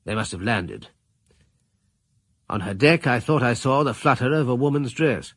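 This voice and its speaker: An actor playing a grave, commanding middle-aged British gentleman adventurer, narrating a radio drama in measured, literary fashion. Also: medium pitch, expressive, slightly rough, no echo, studio quality. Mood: grave, tense.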